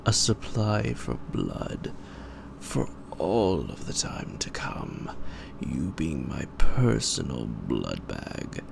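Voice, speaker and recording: A man playing a suave, psychotic gentleman vampire in an audio roleplay, pompous, taunting and predatory, with drawn-out and sometimes whispered delivery. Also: huge pitch swings, heavily acted. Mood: taunting, possessive. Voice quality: very deep, gravelly. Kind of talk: theatrical roleplay monologue to the listener. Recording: noisy amateur home recording.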